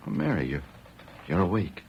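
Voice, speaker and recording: A man's voice: low-pitched, sing-song, normal speed, creaky, lo-fi sound, heavily acted. A man playing an anxious, despairing pioneer husband with plain folksy rural speech in an old-time radio western. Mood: sorrowful, tender. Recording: hissy vintage radio recording.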